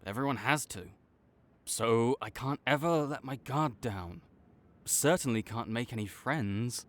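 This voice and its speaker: A man playing a proud supervillain in a dramatic audio roleplay, grudging and exasperated, with a secret soft spot that makes him flustered. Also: medium pitch, unhurried, natural voice. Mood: wry, jaded griping. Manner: tsundere, grudging, exasperated, stammering when sincere.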